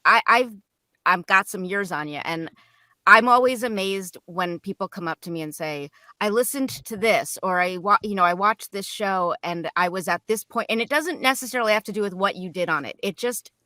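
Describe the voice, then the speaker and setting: A middle-aged woman with a bright, clear-cut edge to her voice, recorded dry and close. She talks informally and chattily, offering encouragement as a guest in a casual panel conversation. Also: medium pitch, moderate pace, dry recording. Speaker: guest voice actor. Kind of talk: casual roundtable chat.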